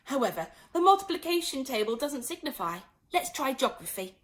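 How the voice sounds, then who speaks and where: A woman's voice: high voice, big pitch swings, brisk. A woman voicing an earnest, muddled young girl who frets and scolds herself in a storybook reading. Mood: puzzled, anxious.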